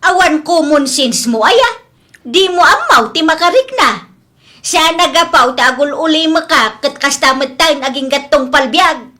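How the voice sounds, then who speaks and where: Woman acting a shrill, scornful mother-in-law. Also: lively intonation, a little slow, bright, forceful tone, heavily acted. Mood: scornful, angry. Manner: scolding, insulting. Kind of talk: comedy drama dialogue.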